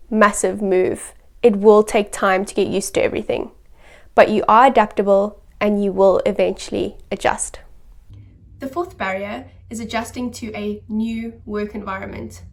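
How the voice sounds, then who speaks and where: A woman doctor hosting an advice video, speaking to camera in an earnest, encouraging and steady way. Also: mid-range voice, vocal fry, distant mic. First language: English. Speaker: doctor and channel host.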